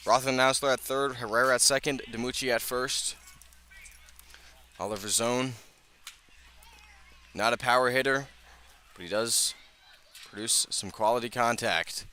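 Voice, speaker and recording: A man gives live radio baseball play-by-play. His voice is bright and projecting, at a middling pitch, with a light bed of outdoor noise behind him. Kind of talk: live radio sports commentary. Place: outdoor ballpark broadcast position.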